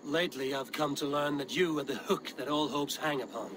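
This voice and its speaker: An actor playing a confident, blunt Viking clan warrior with a dry, challenging manner in a game cutscene. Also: male voice, on the high side, fairly quick, background noise, natural voice. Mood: steady, frank. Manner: dry, challenging, self-assured. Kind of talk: video game cutscene acting.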